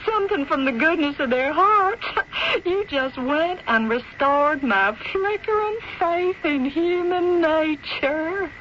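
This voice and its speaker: A woman playing a folksy, slyly cynical frontier townswoman in a radio drama, with a hesitant, drawn-out delivery. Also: high-pitched, animated, noisy background. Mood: laughing. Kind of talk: 1970s radio drama acting. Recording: dull lo-fi radio broadcast, music.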